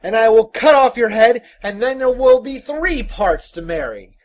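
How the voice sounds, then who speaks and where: A narrator playing a bold, loud young hero. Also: mid-range voice, big pitch swings, slow pace, no echo, pitched above the performer's own voice. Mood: defiant. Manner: challenging, declamatory. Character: bold young hero, a wary, fight-ready boy.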